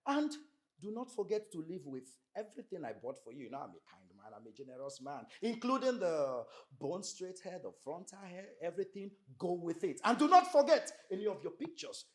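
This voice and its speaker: Angry bossy nigerian husband, film drama, voice swings up and down, hammers his points. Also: high-pitched. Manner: commanding, emphatic, repetitive. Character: domineering, angry husband ordering his mother-in-law out.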